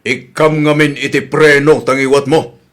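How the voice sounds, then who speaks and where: A man's voice: mid-range voice, flat delivery, normal speed, fairly bright tone, close to an everyday voice. Exasperated, grumbling man, acted out. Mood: admonishing. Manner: grumbling, admonishing. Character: exasperated male relative, blunt, grumbling peacemaker. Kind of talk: radio comedy drama acting.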